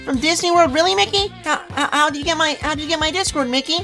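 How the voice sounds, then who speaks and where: A woman's voice: high voice, fast, pushed and bright, soft background music. A woman in a casual voice chat, stammering and repeating words in disbelief.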